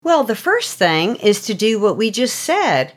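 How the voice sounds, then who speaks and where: A woman with a very lively, expressive pitch range teaches like a devotional host. She is earnest and instructive, explaining things conversationally and steering with rhetorical questions. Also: unhurried. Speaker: host and storyteller.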